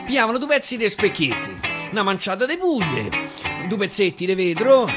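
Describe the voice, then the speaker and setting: A man with a Roman accent reciting dialect verse theatrically, swinging from loud declaiming to a hushed whisper. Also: sits high, huge pitch swings, a little fast. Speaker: actor reciting dialect poetry. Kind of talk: theatrical poetry recitation for radio. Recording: live guitar accompaniment.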